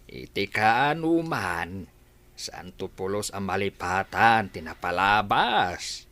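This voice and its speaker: A man's voice: low voice, very animated, slow pace, bright, forceful tone, no room sound, exaggerated voice. A man playing a puzzled, stubbornly nostalgic old grandfather with an Ilocano accent in a radio drama. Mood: insistent. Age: older.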